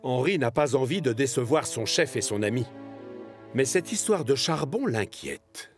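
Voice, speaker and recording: Expressive male storyteller, lively intonation. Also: medium pitch, normal speed, music in the background. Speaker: narrator. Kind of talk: animated children's story narration.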